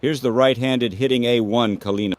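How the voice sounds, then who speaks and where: A man calling baseball play-by-play in measured, clipped phrases, like scripted radio commentary. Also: medium pitch, fairly even intonation, no room sound.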